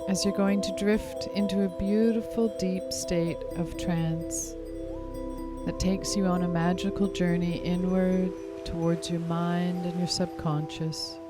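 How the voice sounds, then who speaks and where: A woman guides a relaxation trance with a lowish voice and very slow delivery. Her manner is soothing and lulling, in the style of a hypnosis session. Music plays clearly behind her. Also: little pitch movement. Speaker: guided meditation narrator, hypnotherapist.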